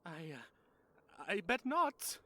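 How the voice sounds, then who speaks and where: A man voicing an excitable, innocent male skeleton in a fan audio drama, gushing and melodramatic. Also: high-pitched, dry recording, full character voice. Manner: gushing, melodramatic, rushes his words.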